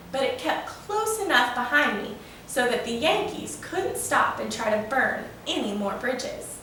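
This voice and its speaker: A woman's voice: sits high, sharp tone, heavily acted. A woman voicing a proud old male steam locomotive spinning a folksy, boastful yarn for a children's storybook. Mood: satisfied, boastful. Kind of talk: children's storybook read-aloud. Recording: roomy, echoing, distant mic with faint noise.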